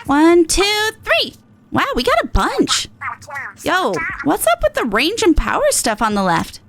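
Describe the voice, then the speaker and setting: Woman acting a brash young female game sidekick, high-pitched, swooping, slangy, excitable, loyal. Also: measured pace, music in the background, heavily acted. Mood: excited. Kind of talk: character reading of video game dialogue.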